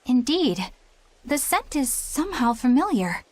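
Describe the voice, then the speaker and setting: A woman's voice: high-pitched, sing-song, measured pace, darkish tone, no room sound, heavily acted. A woman voicing a polite, earnest young female exorcist with formal, courteous speech in a video game, over music. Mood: thoughtful.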